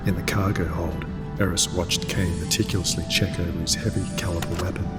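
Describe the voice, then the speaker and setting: Casting: male story narrator, low-pitched and level, cinematic scripted read, clear music bed. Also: steady tone, fairly quick, in a large hall.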